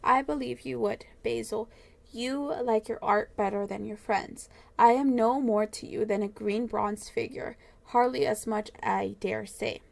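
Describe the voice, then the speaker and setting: A woman's voice: fairly high pitch, fairly even intonation, measured pace, vocal fry. A woman voicing a vain young man who despairs over losing his youth, pleading and crying out. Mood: bitter. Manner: emotional, pleading, rising to cries.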